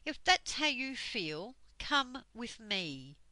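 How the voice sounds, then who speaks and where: A stern, fierce old schoolmistress barks orders in a sharp, commanding voice, as a woman reading a storybook puts her on. The tone is bright and hard-edged, and the sound is dry and close. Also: mid-range voice, lively intonation, measured pace, dry recording, low-quality recording.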